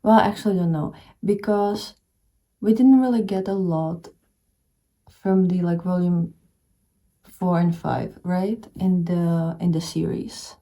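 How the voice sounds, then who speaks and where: A young woman from the Czech Republic with a Czech accent, talking casually about her reading plans. Her voice is low-pitched and very mellow. She rambles along with plenty of fillers and restarts. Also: fairly even intonation, takes it slowly. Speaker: book vlogger. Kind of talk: casual reading vlog chat. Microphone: camera showing only her hands.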